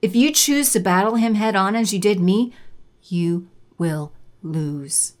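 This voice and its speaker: A woman's voice: medium pitch, slow pace, close to an everyday voice. A woman playing a haughty, condescending villainous sorceress in an audio drama, with theatrical, menacing delivery. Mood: grave warning.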